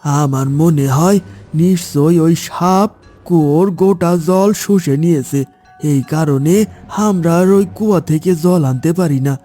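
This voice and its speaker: Man voicing a mellow, higher-pitched suspicious villager. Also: a little slow, soft background music, close to an everyday voice. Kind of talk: animated fairy-tale cartoon dubbing.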